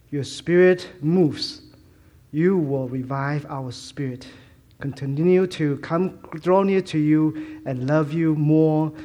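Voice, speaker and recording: A middle-aged man from Malaysia preaching a sermon in English, with a mixed Malaysian, Hong Kong and Fuzhou accent, halting with many fillers and restarts and self-deprecating humor. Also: on the high side, a little mellow. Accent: Malaysian Chinese English, mostly Fuzhounese-tinged.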